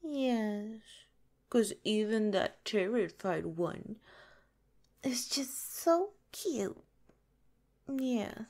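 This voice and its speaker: A possessive young yandere nurse girlfriend, played by a woman for ASMR roleplay. She speaks extremely slowly in a soft, mellow, fairly high voice, coy and breathy. She teases sweetly with menace underneath, often dropping into a whisper. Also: expressive, heavily acted. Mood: mocking, possessive. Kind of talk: ASMR roleplay, soft intimate drawn-out delivery.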